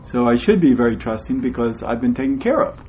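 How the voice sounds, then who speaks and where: A man hosting an interview, speaking in measured, hesitant phrases with pauses. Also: medium pitch, very animated, darkish tone, a little hiss or hum, low-quality recording. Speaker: interview host. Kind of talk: conversational interview.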